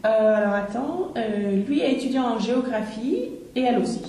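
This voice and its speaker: A woman's voice: on the high side, a little fast, mellow, echoey room. A young woman describing photos in a casual conversation practice, hesitant and full of fillers.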